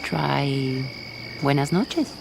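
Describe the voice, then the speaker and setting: A woman acting in a radio play as a teasing, cool, mysterious woman with a deadpan manner. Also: a bass voice, drawn-out words, vocal fry, background noise, heavily acted. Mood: cool, flirtatious.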